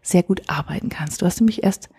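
A woman's voice: fairly low pitch, brisk, mellow, slightly rough, no room sound, in a whisper. A woman hosting a podcast interview, talking in a hesitant way with many fillers, recorded in a coworking space. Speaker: podcast host. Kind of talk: conversational interview.

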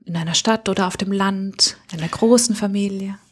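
A woman's voice: medium pitch, steady tone, fairly quick, slightly rough. A woman hosting a podcast, chatting casually and asking the guest friendly questions. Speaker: podcast host and interviewer.

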